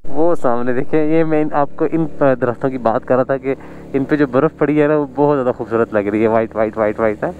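Man, casual ride vlog, mid pitch, faint wind and engine hiss under him. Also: brisk, narrow, tinny audio. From Pakistan. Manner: chatty, marvels at scenery, chuckles often. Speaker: vlogger. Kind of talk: casual motorbike ride commentary. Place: outdoors on a snowy mountain road.

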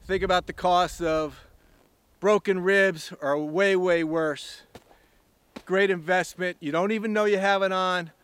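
A man's voice: high-pitched, steady tone, measured pace, fairly bright tone, clear, resonant tone, no room sound. A man giving an enthusiastic, plainspoken review outdoors on a snowy farm, talking casually and a bit rambling. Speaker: snowmobile reviewer.

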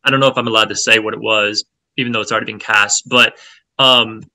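A Texan man with a Southern accent chats as an interview guest over a webcam from a room at home. His voice is gravelly yet very bright, and the sound is dry and close. He hesitates, restarting his sentences with plenty of "um"s. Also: lowish voice, steady tone, fast, no room sound. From Texas. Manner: hesitant, many fillers and restarts. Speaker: actor guest. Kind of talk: casual video call interview. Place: home room with shelves, indoors. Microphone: webcam on a video call.

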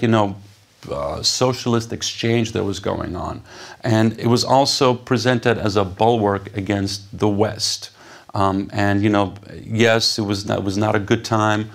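Gravelly man telling stories on stage. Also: fairly low pitch. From Poland. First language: Polish. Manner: animated, gesturing, conversational with many fillers. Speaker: radio DJ giving a stage talk. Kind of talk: storytelling talk on stage. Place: stage before a seated audience in a dark hall.

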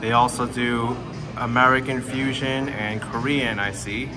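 A man's voice: medium pitch, flat delivery, unhurried. A man vlogging a meal at a roadside restaurant, tasting dish after dish and savoring each bite with enthusiastic remarks. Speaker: food vlogger. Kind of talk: casual food vlog narration. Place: roadside restaurant in a hot desert town.

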